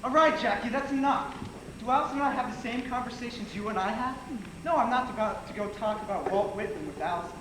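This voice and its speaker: A teenage male student actor playing a naive, good-natured high school boy in an amateur stage play. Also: quick pace. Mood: defensive. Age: teens. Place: theatre stage, indoors. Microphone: far camera. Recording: echoey stage, far camera, loud noise.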